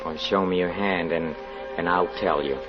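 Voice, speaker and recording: An actor playing an anguished, haunted fortune teller in an old radio drama, halting and brooding as he confesses. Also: low voice, fairly even intonation, close to an everyday voice. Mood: fearful, hesitant. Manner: halting, brooding, repeats words with dramatic hesitation. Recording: dull vintage radio recording, music underneath.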